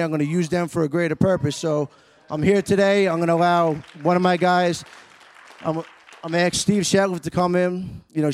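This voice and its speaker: A man who leads a ministry program sets up a speaker before a congregation. He talks in steady, preacherly phrases, and his voice sits a little high for a man. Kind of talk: introducing a testimony in church.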